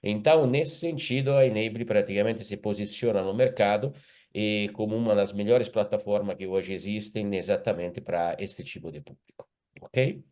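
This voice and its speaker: A man's voice: lowish voice, steady tone, normal speed, a little mellow. Italian-accented man presenting on a call. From Italy. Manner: formal, hesitant with fillers. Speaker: company executive guest. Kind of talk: webinar presentation. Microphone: video call.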